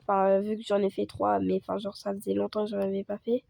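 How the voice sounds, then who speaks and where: A woman chatting casually over gameplay as a video creator, hoarse from a cold and rambling with lots of fillers. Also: medium pitch, monotone, fast talker, mellow, dry recording. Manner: rambling, full of fillers and restarts.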